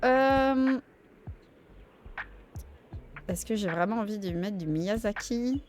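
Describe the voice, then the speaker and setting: A woman's voice: mid-range voice, slow. A woman streamer giving casual gameplay commentary, chatty and thinking aloud as she plays. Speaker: gaming streamer. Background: faint game music.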